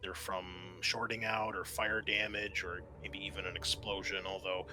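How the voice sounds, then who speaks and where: A man's voice: deep voice, little pitch movement, moderate pace, over music. A man narrating a tabletop roleplaying game as game master, mixing description with informal table talk.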